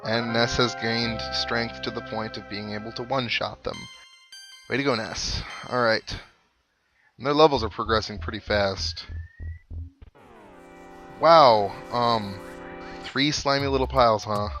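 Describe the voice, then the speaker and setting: A man's voice: medium pitch, fairly even intonation, a little slow, pauses often, a bit bright. A man giving casual, chatty video game commentary with wry asides as he plays. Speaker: let's play host. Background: video game music.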